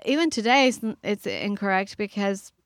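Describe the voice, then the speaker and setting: A middle-aged woman from Iran, a guest speaking earnestly and thoughtfully with pauses and fillers. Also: mid-range voice, animated, no echo, studio quality. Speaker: author and guest. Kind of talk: reflective interview talk.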